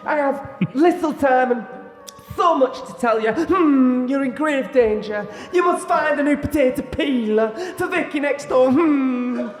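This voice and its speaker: A performer voicing a flamboyant male ghost of Christmas past in a comic play reading, booming and theatrical with drawn-out hums between lines. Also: reverberant, long reverb tail. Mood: grandly mysterious.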